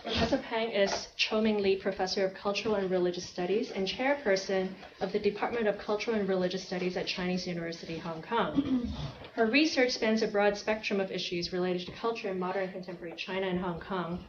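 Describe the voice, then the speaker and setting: A woman introducing a speaker at an academic event, halting with many fillers. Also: medium pitch, steady tone. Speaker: event chair. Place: lecture hall. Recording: echoey room, muffled, clear hiss.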